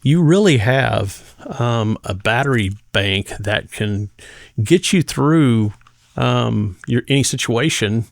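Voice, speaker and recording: A man giving a casual, conversational product demonstration as a gadget reviewer, speaking hesitantly with pauses mid-phrase. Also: mid-range voice, lively intonation, gravelly, no echo, studio quality.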